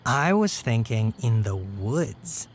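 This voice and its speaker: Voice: mid-range voice, sing-song, slow, slight background noise. An actor playing a hapless, scheming young male vampire suitor in a comedy horror audio drama, with coaxing, theatrical delivery.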